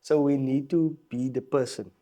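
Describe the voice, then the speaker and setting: A man's voice: mid-range voice, normal speed. A South African man, a guest musician, talking in a casual podcast conversation, emphatic and fond of repeating phrases. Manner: animated, emphatic, repeats phrases, laughs often.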